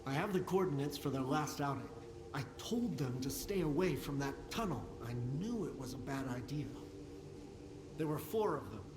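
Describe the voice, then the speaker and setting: A man's voice: fairly high pitch, expressive, moderate pace, echoey room, noisy background, natural voice. An actor playing a wry, chatty male technician in a post-apocalyptic video game. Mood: uneasy.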